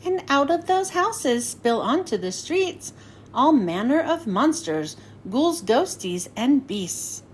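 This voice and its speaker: A woman reading a rhyming storybook to children in an expressive, sing-song way. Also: high-pitched, takes it slowly, slight background noise. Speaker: children's read-aloud host. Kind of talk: scripted storybook narration for children.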